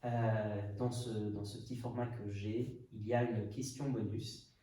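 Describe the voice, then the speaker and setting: A man's voice: lowish voice, little pitch movement, a little slow, mellow. A man from Portugal hosting a podcast conversation, thinking aloud and hesitating often. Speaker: podcast host. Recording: echoey room, mic at a distance, faint noise.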